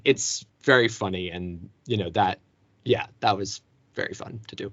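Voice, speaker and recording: A man who is a voice actor, talking casually as an interview guest, relaxed and full of fillers. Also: low-pitched, normal speed, dry recording. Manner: relaxed, hesitant, many fillers. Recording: dull lo-fi sound, dry.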